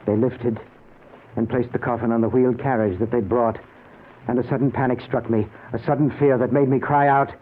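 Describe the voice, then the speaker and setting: A man's voice: mid-range voice, fairly even intonation, fairly quick, close to an everyday voice. An actor playing a newly dead, anguished man who narrates his afterlife in a theatrical old-time radio drama. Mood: grave, stunned narration. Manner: theatrical, intense, pleading. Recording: noisy, narrow-band old radio recording.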